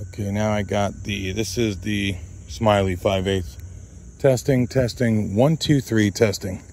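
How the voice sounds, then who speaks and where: A man with a fairly low voice talks casually and loosely, like a hobbyist vlogger out in a field. There is a faint layer of outdoor noise with insects behind him. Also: steady tone, measured pace, vocal fry, darkish tone. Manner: rambling, talks to his dog. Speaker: vlogger testing handheld radios. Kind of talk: casual chat with radio test calls. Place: outdoors in a grassy field by woods.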